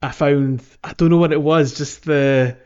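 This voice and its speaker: A man's voice: fairly high pitch, steady tone, moderate pace, darkish tone, clean tone, lo-fi sound. A man hosting a podcast, chatting casually about gaming in a jokey way, often chuckling as he talks. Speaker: podcast host.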